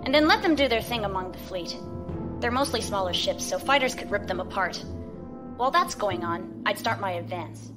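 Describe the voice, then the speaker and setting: A woman's voice: mid-range voice, natural voice. A woman playing a brash, wry spaceship crew officer in a science fiction audio drama, casual and blunt. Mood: eager, cheeky. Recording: music behind the voice.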